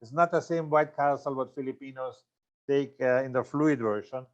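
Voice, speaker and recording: Older man from Austria, seminar presenter, mid pitch, halting with fillers, slightly dull lo-fi audio. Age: 60s. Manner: loose, halting delivery with many fillers and self-corrections. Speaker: franchise consultant giving a seminar.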